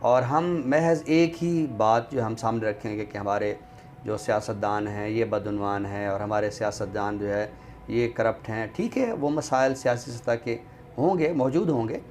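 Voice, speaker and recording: A man with a Pakistani accent speaks as a guest in a thoughtful discussion, formal and deliberate, pausing often. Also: fairly low pitch, a little mellow, slight background noise. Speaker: guest commentator on a literary show.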